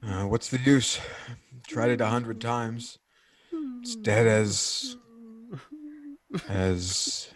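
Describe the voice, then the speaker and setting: Radio drama: trapped man, numb and brooding, played by a male actor; very slow, terse, hesitant lines, mid-range voice. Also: mid-range voice, very animated, crowd noise. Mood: hopeless. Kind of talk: 1940s radio drama acting.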